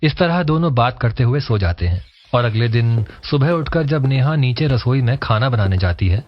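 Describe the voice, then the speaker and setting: A man's voice: medium pitch, lively intonation, normal speed, muffled recording. A man reading scripted narration for a cartoon family story.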